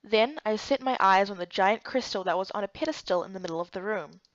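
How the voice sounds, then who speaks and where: A woman's voice: medium pitch, brisk, no echo, lo-fi sound. A woman reading scripted first-person story narration for a game playthrough.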